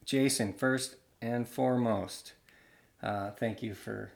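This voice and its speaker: A man hosting a casual interview podcast, relaxed and joking as he pushes his guest for deeper answers. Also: slow pace, creaky, roomy sound. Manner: relaxed, joking, probing questions. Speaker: podcast host and bar owner.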